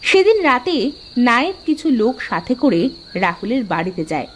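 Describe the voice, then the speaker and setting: A woman storyteller with a high voice and very lively intonation. She reads a scripted cartoon fairy tale. Faint ambient noise sits behind her. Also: normal speed. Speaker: narrator.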